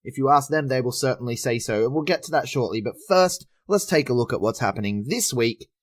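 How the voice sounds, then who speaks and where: A man hosting a podcast news roundup, chatty and enthusiastic while his voice is going hoarse. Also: medium pitch, lively intonation, brisk. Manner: chatty, enthusiastic with frequent uh fillers. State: losing his voice. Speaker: podcast host.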